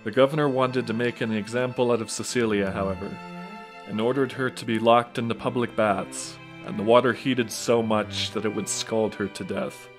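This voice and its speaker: A man's voice: lowish voice, steady tone, moderate pace. A man narrating a scripted religious audio drama as storyteller. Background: music.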